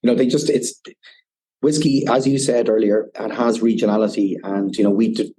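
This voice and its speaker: A man's voice: on the low side, steady tone, moderate pace, dark tone, husky, rough recording. A man with an Irish accent, a whiskey ambassador guest on a podcast, explaining at length and often saying "you know". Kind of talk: casual podcast interview.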